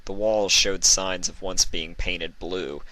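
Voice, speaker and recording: A man's voice: low voice, steady tone, slow, pushed and bright, dry recording. A man reading a story aloud in a scripted narration style. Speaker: fan fiction narrator. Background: music.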